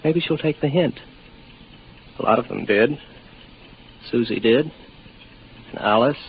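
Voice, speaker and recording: A man's voice: mid-range voice, unhurried, close to an everyday voice. An actor playing a weary, lonely young spaceman, wry and rambling, in a 1950s radio drama. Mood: confiding, weary. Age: 20s. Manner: wry, musing, sardonic. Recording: noisy muffled 1950s radio recording.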